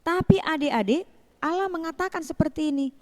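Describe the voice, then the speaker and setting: A woman telling a Bible story to children as a Sunday school teacher, in an animated way with rhetorical questions and repetition. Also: very high-pitched, a little fast.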